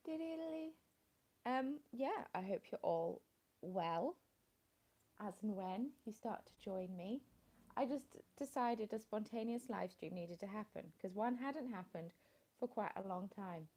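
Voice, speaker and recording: A woman's voice: fairly high pitch, slow, a little mellow. A woman chatting casually on a home live stream, rambling and greeting viewers, into a phone with a small plug-in mic. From England. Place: at home in the evening, dim light.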